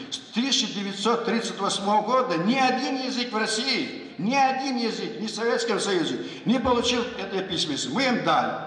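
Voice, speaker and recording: An older man from Dagestan stands before a conference audience as an education official, stressing his points and repeating them. His voice sits high and sounds bright and forceful. The sound is very echoey, with the long ringing tail of a large hall. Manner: emphatic, lecturing, repeats key points. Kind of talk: conference speech.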